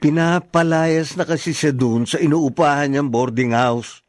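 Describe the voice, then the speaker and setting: An actor playing a stubborn, insistent husband in a radio drama. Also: male voice, fairly high pitch, a little slow, no echo, clean studio sound, natural voice. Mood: stubborn, defensive. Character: stubborn husband insisting on his family's rights.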